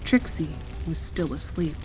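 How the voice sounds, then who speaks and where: A woman reading a scripted horror story as narrator, in a measured and deliberate dramatic style. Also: low voice, lively intonation, over music, poor audio. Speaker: fan fiction narrator.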